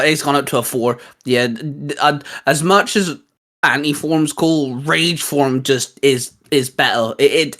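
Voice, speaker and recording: A man's voice: medium pitch, a bit bright. A man co-hosting a casual, geeky chat, talking in a rambling, enthusiastic and hesitant way. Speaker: host. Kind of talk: casual geeky chat rating video game forms.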